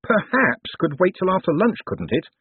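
A wry, sharp-witted young man with a dry delivery, as a male reader voices him. The voice is husky and breathy, and it comes through a tinny, phone-like line. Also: high voice, sing-song, normal speed, no room sound, pitched above the performer's own voice, exaggerated voice.

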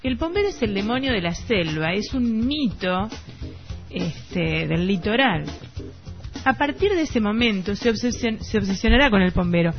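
A woman with an Argentine accent hosting a radio show, talking conversationally in a halting, explanatory way. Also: medium pitch, lively intonation. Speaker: radio host. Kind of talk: conversational film review on radio. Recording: lo-fi radio with music behind.

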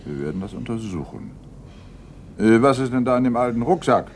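A man's voice: mid-range voice, animated, a little fast, background noise, close to an everyday voice. A man acting a seasoned, middle-aged police inspector in a German radio crime drama, curt and authoritative. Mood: brusque. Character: seasoned, brusque police inspector giving orders.

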